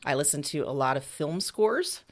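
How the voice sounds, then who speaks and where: A woman's voice: low voice, big pitch swings, moderate pace. A woman giving casual interview answers as a writer, talking in a candid, reflective and informal way. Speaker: interviewed writer and audio drama creator.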